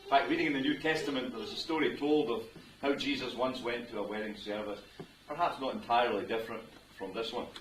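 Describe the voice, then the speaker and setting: A jovial middle-aged Scottish minister leads a wedding, chatting and joking with the guests. He is caught from some way off, and his voice rings around a roomy venue over steady background noise. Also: medium pitch, distant mic. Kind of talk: officiating a wedding ceremony.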